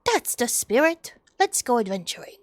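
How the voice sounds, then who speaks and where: A woman voicing an eager teddy bear companion in a game, coaxing and reassuring in drawn-out theatrical phrases. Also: a little high, sing-song, no room sound. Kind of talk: video game character dialogue.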